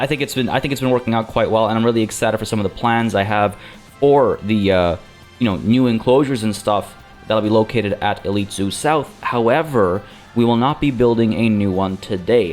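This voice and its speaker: A man hosting a let's play, chatting in a rambling way with many fillers and restarts, slightly under the weather. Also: on the low side. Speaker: gaming channel host.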